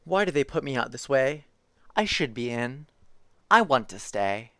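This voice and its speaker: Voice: medium pitch, big pitch swings, unhurried, fairly bright tone. Streamer doing a dopey spotted storybook creature. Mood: pleading. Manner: singsong rhyming read-aloud.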